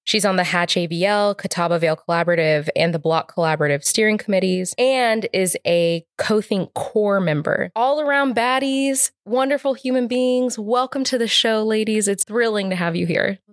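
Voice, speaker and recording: A woman's voice: medium pitch, normal speed, hardly any pauses, no room sound, clean studio sound. A woman co-hosting a talk podcast, introducing guests and responding in conversation. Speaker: podcast co-host.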